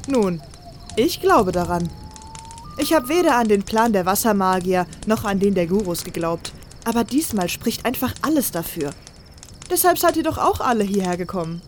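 A woman's voice: medium pitch, slight background noise, close to an everyday voice. A woman playing a confident, blunt female rebel spy in a fantasy radio play.

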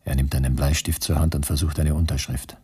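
Husky, low, disillusioned camp prisoner. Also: male voice, little pitch movement, warm tone, exaggerated voice. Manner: brooding, bitter, measured. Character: weary, disillusioned concentration camp prisoner, communist, writing to his beloved. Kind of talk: radio drama monologue.

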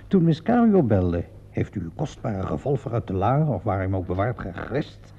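Male police inspector, sardonic, probing, gravelly, animated intonation, radio drama, muffled old recording. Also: on the low side, normal speed, slight background noise. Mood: mocking, stern. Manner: drawling, ironic questioning with little interjections. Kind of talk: radio play acting in Dutch. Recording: dull lo-fi radio play recording.

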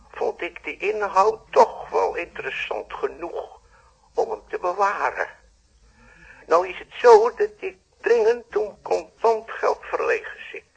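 An actor with a Netherlands Dutch accent playing a coolly insistent, blackmailing moneylender in a radio play, measured and falsely polite. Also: male voice, medium pitch, vocal fry, fairly bright tone, slightly rough, heavily acted. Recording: dull, band-limited old radio play.

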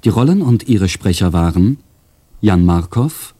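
Casting: male announcer for radio drama credits, mellow and slightly rough, scripted delivery. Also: sits low, animated, a little slow.

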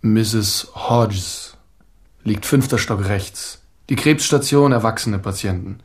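An actor playing an irritable, tense but helpful young-looking doctor in a radio crime drama, gruff and urgent. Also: male voice, lowish voice, slow pace. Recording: roomy echo, loud ambient noise behind.

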